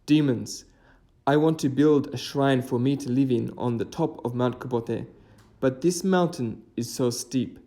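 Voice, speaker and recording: Guy doing a folktale mountain god, formal n deliberate, bossy, crafty, mellow tone. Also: mid-range voice, natural voice. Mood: commanding, stern.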